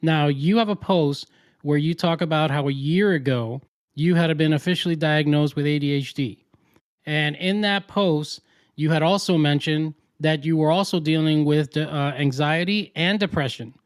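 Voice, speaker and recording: A man with a somewhat high voice hosts an interview show in a conversational style. The sound is close and dry, with hardly any room to it. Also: moderate pace, clean tone, dry recording. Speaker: talk show host and interviewer.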